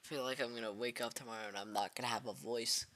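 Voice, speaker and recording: A man hosting live severe weather coverage, talking in a halting way with many fillers and restarts. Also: medium pitch, fairly even intonation, pushed and bright. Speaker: livestream weather host.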